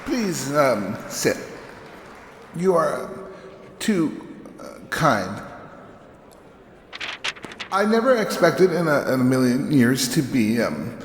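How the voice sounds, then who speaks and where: An actor playing a modest, awkward scientist in an audio drama, speaking in hesitant, halting stammers. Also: male voice, medium pitch, sing-song. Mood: flustered, halting. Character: awkward, modest male scientist accepting an award. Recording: echoey hall with crowd and noise.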